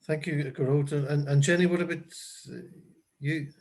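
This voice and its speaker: An Irish man hosting a casual chat while making pancakes, talking haltingly with many ums and restarts. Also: a little high, steady tone, a little mellow. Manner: hesitant, stammering, lots of fillers. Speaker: host.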